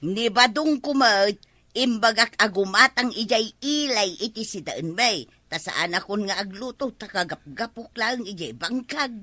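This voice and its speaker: A practical village wife with an Ilocano accent, played by a woman nags in a comedy drama. Her voice is sharp and lively, recorded dry, with faint music. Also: medium pitch, unhurried, dry recording. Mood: concerned. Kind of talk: comedy radio-style drama acting.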